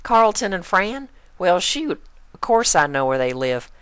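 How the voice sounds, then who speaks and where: A woman's voice: fairly low pitch, very animated, a little slow, a bit bright, smooth, no echo, voice pushed up. A woman voicing a friendly, forthright young farm mare in a cartoon story, with a Southern US accent. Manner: folksy, forthright.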